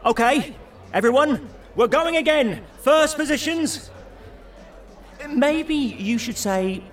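A man's voice: high voice, far from the mic. An actor playing the mouthy, berating, panicky inner voice of an awkward British man in an audio drama. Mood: frantic. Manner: sweary, berating.

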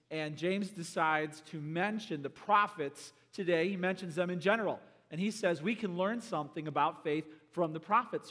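Pastor guy with a kinda high voice, a bit hoarse, joking around mid-sermon, church echo trailing off. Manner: conversational, joking, animated preaching. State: slightly hoarse. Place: church sanctuary.

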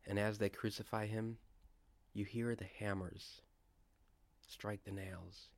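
A man leading a guided scripture meditation, speaking in a deliberate, reverent way with pauses. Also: lowish voice, little pitch movement, vocal fry. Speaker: guided prayer leader.

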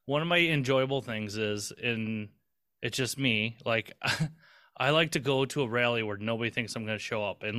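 A man's voice: mid-range voice, bright, forceful tone, smooth, dry recording, studio quality. A man co-hosting a podcast in casual chat, rambling and swearing casually with lots of restarts. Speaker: podcast co-host.

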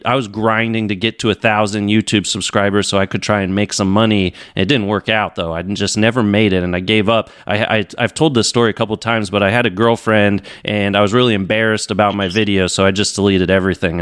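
A man in his thirties speaks as the host of an online audio interview. His voice is smooth and fairly low, picked up very dry with no room around it. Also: a bit bright, dry recording. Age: 30s. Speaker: talk show host.

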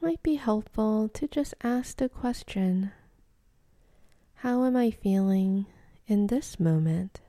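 A woman leading a guided meditation in a soft, breathy, half-whispered voice, calm and gentle, with long pauses between phrases. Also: mid-range voice, no echo, clean studio sound.

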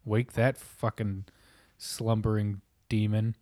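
A man co-hosting a casual chat, talking loosely and profanely with many restarts, his voice a little hoarse and raspy. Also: fairly low pitch, flat delivery, takes it slowly, dark tone, dry recording.

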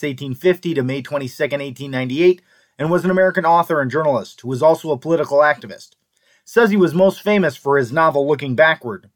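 A man hosting a show, giving a scripted introduction and reading out quoted passages. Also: fairly high pitch. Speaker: host.

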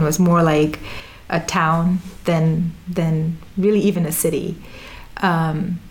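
A woman from Sudan, raised in Dubai, telling stories casually in an interview, with many fillers and restarts. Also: fairly low pitch. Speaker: interview guest. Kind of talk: casual conversational storytelling.

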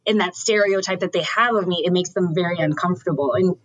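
A woman's voice: fairly low pitch, fast talker, lo-fi sound, slight background noise. Woman telling stories in casual conversation. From Ohio, USA. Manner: animated storytelling, acts out quoted people.

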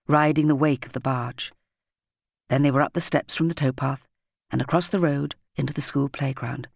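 Expressive British woman narrator, very varied pitch. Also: vocal fry, no echo, clean studio sound. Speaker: audiobook narrator. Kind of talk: expressive scripted story narration.

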